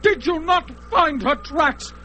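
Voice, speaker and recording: An actor playing a fierce young barbarian hero in a classic radio drama, with a bold, declamatory manner. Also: male voice, very high voice, slow, pushed and bright, full character voice. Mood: shaken. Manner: bold, declamatory, shifting to shaken murmurs.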